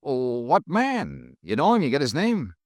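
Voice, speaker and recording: An actor playing a brisk, wisecracking male insurance investigator in a 1950s radio drama, narrating his own case in a clipped, dry way. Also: mid-range voice, wildly swooping pitch, no echo. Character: hard-boiled male insurance detective, brisk and wry.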